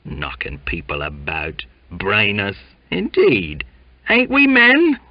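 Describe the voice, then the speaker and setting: A man voicing a scrappy, whining young male sailor with a shrill, grievance-laden manner. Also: sing-song, slow, dry recording, lo-fi sound, exaggerated voice. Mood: indignant.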